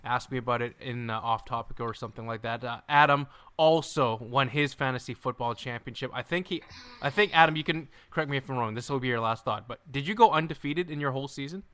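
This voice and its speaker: Bright, crisp-voiced man joking around. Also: medium pitch, quick pace. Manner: jokey, boastful banter. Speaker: podcast co-host. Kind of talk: casual podcast chat. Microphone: remote call mic.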